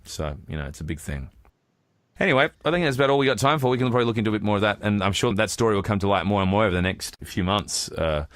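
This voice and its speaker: Deep-voiced Australian man, podcast co-host. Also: animated, rapid-fire, no echo, studio quality. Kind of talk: casual podcast chat.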